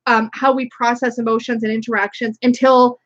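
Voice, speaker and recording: A woman's voice: a little high, little pitch movement, brisk, fairly bright tone. A woman co-hosting a casual live stream, talking frankly and chattily with jokes and swearing. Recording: dull lo-fi stream audio.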